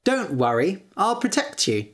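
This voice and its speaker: A woman's voice: medium pitch, big pitch swings, measured pace, pitched above the performer's own voice. A woman voicing a bold, no-nonsense young female adventurer in a storybook tale, speaking bluntly and directly. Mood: confident.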